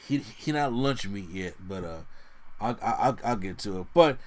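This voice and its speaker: A man co-hosting a casual podcast, talking through wrestling predictions in an animated way, often restarting his sentences and jumping up in pitch. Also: measured pace. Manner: animated, lots of restarts and sudden pitch jumps. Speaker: podcast co-host.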